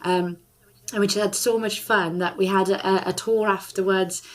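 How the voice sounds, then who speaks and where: A woman's voice: mid-range voice, fairly even intonation, normal speed. A woman singer and charity ambassador chatting in an interview, bubbly and enthusiastic, laughing often as she talks. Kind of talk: casual interview chat.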